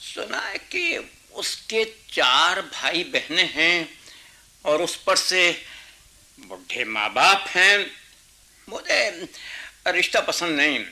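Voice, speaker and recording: A man's voice: a little high, bright, forceful tone, full character voice. An actor playing an evasive, irritable middle-aged father and husband in a radio drama, defensive and grumbling.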